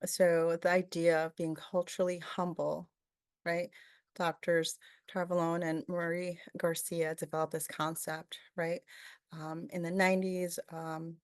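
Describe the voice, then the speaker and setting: A woman's voice: fairly low pitch, level tone, measured pace, clear, resonant tone, dry recording, studio quality. A woman from Peru giving a webinar presentation, thoughtful and full of fillers, often asking "right?".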